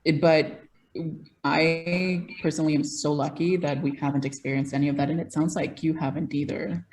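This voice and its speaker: A woman's voice: low-pitched, steady tone, moderate pace, darkish tone. A woman hosting a casual podcast, speaking in a reflective, agreeable way. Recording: distant mic with faint noise.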